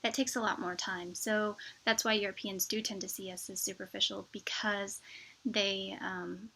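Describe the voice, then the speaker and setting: A woman's voice: mid-range voice, little pitch movement, normal speed, gravelly, bright, forceful tone, rough recording. A middle-aged American woman giving an informal explanatory talk, illustrating her points with everyday examples. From United States (Wichita, Kansas). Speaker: vlogger, expat presenter.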